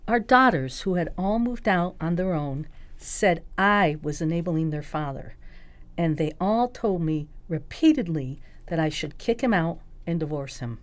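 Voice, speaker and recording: A woman with a slightly low voice reads a personal account from a script. She narrates in the first person, in the form of an audiobook memoir. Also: lively intonation, measured pace.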